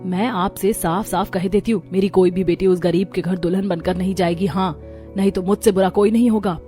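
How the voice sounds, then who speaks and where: A woman's voice: lowish voice, steady tone, fairly quick, dark tone, soft background music, close to an everyday voice. A woman playing a worried, scolding middle-aged mother in a moral story, speaking in an earnest, admonishing way. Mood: worried, exasperated. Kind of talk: Hindi moral story voice acting.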